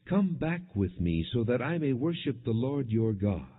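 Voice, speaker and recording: A man's voice: mid-range voice, big pitch swings, a little slow, pitched above the performer's own voice. A man voicing a defensive, pleading king in an earnest audiobook reading of scripture. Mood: contrite, pleading. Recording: muffled, phone-like narrow-band audio.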